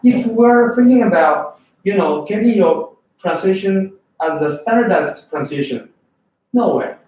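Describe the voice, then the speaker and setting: A man's voice: high voice, normal speed. A man from China with a Chinese accent, teaching an English test class and often checking in with students. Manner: lively, asks students questions often. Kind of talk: classroom lecture. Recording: echoey room from far off.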